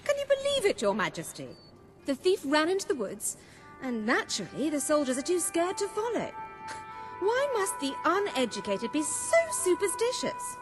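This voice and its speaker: A haughty, wealthy noblewoman in a video game. Her high voice rises and falls dramatically, gushing and melodramatic, over soft music. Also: huge pitch swings, moderate pace. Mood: gushing indignation. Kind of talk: theatrical video game acting.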